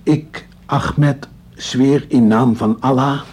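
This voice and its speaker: A principled, careful man in a radio play. His voice is very husky and of medium pitch. He speaks earnestly and measuredly, weighing what he says. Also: fairly even intonation, takes it slowly, a little mellow. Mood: solemn. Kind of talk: radio drama acting.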